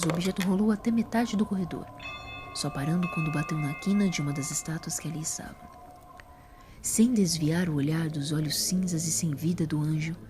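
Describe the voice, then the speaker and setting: A woman with a Brazilian accent reading scripted narration for a dramatic audio story. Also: deep voice.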